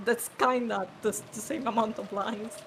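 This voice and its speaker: A woman's voice: on the high side, fairly even intonation, moderate pace, background noise. A young woman with an Italian accent, speaking English as a second language while co-streaming a game. She giggles often and hesitates as she translates her thoughts. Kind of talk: casual game stream chat.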